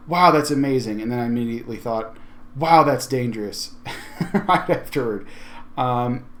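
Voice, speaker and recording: A man giving an informal, conversational software walkthrough as a tech video host, thinking aloud as he goes. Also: medium pitch, slight background noise. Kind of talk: casual software tutorial walkthrough.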